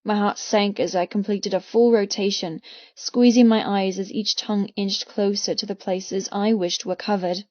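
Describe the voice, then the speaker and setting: A female audiobook narrator with a medium-pitched voice reads first-person fiction expressively and intensely. The recording is very dry and slightly muffled, with no room around the voice. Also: fairly even intonation, normal speed, darkish tone, dry recording. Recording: slightly muffled, dry close studio sound.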